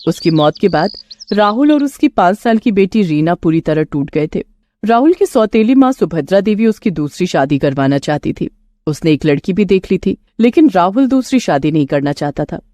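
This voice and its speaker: A woman storyteller reading a scripted moral tale in an expressive, dramatic way. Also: fairly low pitch, normal speed, dry recording.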